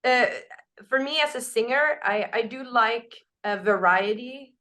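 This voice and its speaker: A woman singer with a naturally raspy voice, answering interview questions in a loose, candid way, full of "like" and "you know". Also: fairly high pitch, unhurried, pushed and bright. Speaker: band singer.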